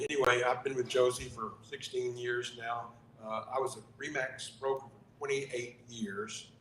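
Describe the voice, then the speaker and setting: An older man cracks jokes as he introduces himself, his voice bright and edgy. He talks loudly and lively. The room rings with echo, and faint noise sits behind him. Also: mid-range voice. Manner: joking, loud and lively. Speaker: real estate broker. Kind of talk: self-introduction at a networking event. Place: meeting room.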